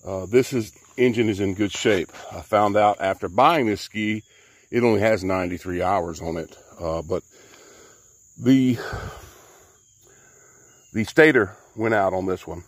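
A man's voice: lowish voice, measured pace, pauses often, no echo. A man, casually walking through a jet ski repair outdoors, folksy and rambling with many fillers. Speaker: DIY mechanic. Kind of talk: casual how-to walkthrough.

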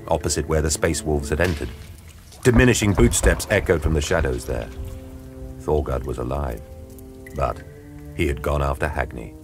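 A man giving a grave, measured, dramatic narration for an audio drama. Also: a bass voice. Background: dramatic music.

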